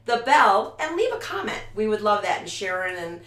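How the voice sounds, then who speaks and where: A woman's voice: mid-range voice, big pitch swings, moderate pace, a bit bright. A woman hosting a casual interview show, bubbly and enthusiastic, full of exclamations. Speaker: host. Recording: echoey room, far from mic, faint noise.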